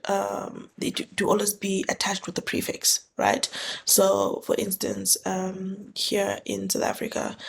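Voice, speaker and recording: A young woman from Zambia hosts her own podcast, thinking aloud in a loose, reflective way, full of fillers and self-corrections. Her voice is strongly gravelly with fry, at a mid pitch. A faint noise sits under the recording. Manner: thoughtful, many fillers and restarts, conversational. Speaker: podcast host. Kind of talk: reflective solo podcast monologue.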